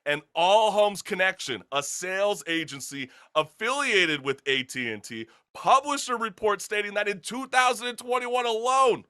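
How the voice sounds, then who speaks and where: A young man hosting a casual talk show, chatting in an animated, slangy, joking way. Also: sits high, moderate pace, few pauses, clear, resonant tone.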